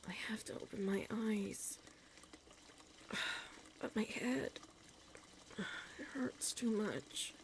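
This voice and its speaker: A woman voicing a young, anxious but stubborn mermaid in an ASMR audio roleplay, murmuring haltingly to herself. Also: medium pitch. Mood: dazed, weak. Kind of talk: ASMR audio roleplay, breathy dramatic acting. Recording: clear background ambient noise.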